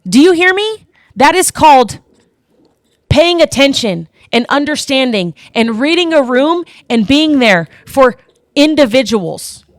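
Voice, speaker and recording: A woman hosting a live online panel, loud and forceful, swearing often and repeating herself. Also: sits high, big pitch swings, unhurried, dry recording, studio quality. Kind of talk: heated live-stream talk.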